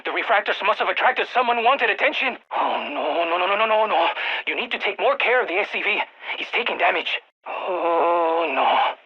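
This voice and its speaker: An actor playing an anxious, excitable nerdy scientist in a video game, speaking over a radio, expressive and prone to stammering and repeating words. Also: mid-range voice, moderate pace, creaky, slightly rough, muffled recording. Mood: panicked. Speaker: field research scientist.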